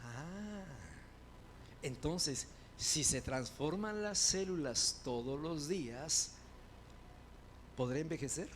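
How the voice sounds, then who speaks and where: A man preaching a sermon as pastor, building to loud fervent proclamations and dropping into quick conversational asides. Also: on the high side, animated, a little hiss or hum.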